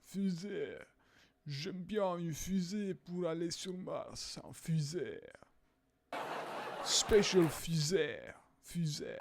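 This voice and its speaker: A young man casually reacting to videos, hesitant with many fillers, sometimes bursting into loud exclaiming. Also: on the high side, vocal fry, background noise. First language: not English.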